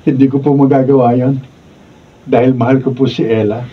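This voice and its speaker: An actor playing an earnest, devoted young boyfriend in a radio drama, with a Filipino accent. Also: male voice, mid-range voice, flat delivery, normal speed, slightly rough, natural voice. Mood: tender. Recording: distant, muffled, noisy room recording.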